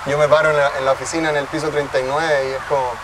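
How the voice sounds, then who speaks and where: A man's voice: fairly high pitch, level tone, racing, a loud crowd. A man in his thirties with a Chilean accent, giving reflective interview answers in a city park and often restarting his phrases. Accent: Chilean Spanish. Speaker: guest, tech cofounder. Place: outdoor park under a tree, city. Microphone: distant camera mic. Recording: distant mic, city traffic noise.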